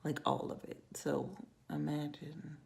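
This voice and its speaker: A woman vlogging in a casual ramble to camera, with a raspy, hoarse voice and frequent drops into whispers. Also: sits low, little pitch movement, unhurried, darkish tone. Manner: rambling, self-talk with many trailing whispers. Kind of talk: casual vlog talk to camera.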